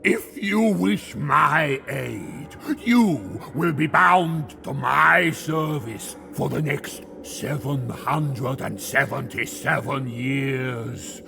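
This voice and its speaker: Slow, gritty zealot warlord. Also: male voice, medium pitch, creaky, sharp tone, a little hiss or hum, heavily acted. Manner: declamatory, grandiose, deliberate. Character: proud, defiant zealot warlord of a plague god. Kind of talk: video game cutscene acting. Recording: game dialogue over musical score.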